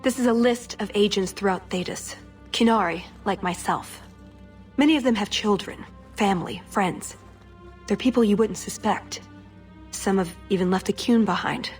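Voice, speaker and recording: A woman plays a loyal young elf agent in a fantasy video game. She talks in a mid-pitched voice with an earnest, wistful, conversational manner. Some music sits behind her. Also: moderate pace, natural voice. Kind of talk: dramatic video game cutscene acting.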